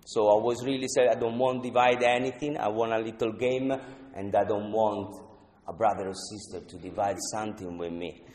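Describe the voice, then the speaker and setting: A man's voice: lowish voice, steady tone, darkish tone. Italian man sharing in an echoey hall. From Italy. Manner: halting non-native English, frequent "you know", earnest. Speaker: recovery meeting speaker. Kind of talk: personal share at a twelve-step meeting. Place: meeting room. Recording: echoey hall, speaker off-mic.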